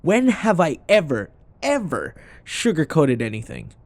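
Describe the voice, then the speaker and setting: A man's voice: fairly high pitch, huge pitch swings, a little slow, no echo, exaggerated voice. A man playing a sarcastic, irritable office rival who taunts and scoffs, in a scripted boyfriend audio roleplay. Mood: exasperated. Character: snarky, irritable male office rival, teasing and combative.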